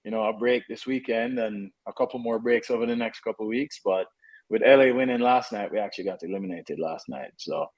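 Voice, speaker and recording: A Jamaican-accented man in his fifties speaks in a fairly low voice. He talks as a soccer head coach in a casual interview, easygoing and conversational, with fillers and restarts. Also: brisk, darkish tone, rough recording.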